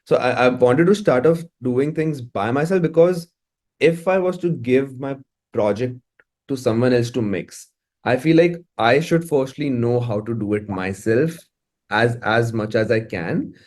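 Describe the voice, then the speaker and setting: A man's voice: mid-range voice, measured pace, a little mellow. A man with an Indian English accent, talking casually as an interviewed musician, often repeating words as he thinks.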